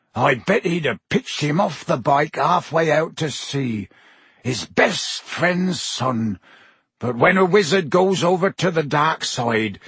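Gruff giant gamekeeper, voiced by a man, blunt, lively pitch, dry sound. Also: no echo, exaggerated voice. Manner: blunt, growling. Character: huge gruff bearded male gamekeeper, hot-tempered.

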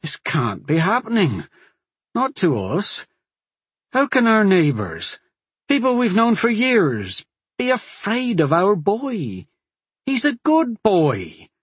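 A man's voice: sits high, wildly swooping pitch, slow, husky, dry recording, pitched above the performer's own voice, heavily acted. A man voicing a farmer defending his home, talking through clenched teeth. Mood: distraught. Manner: clenched, defiant. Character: defiant angry farmer, grown man.

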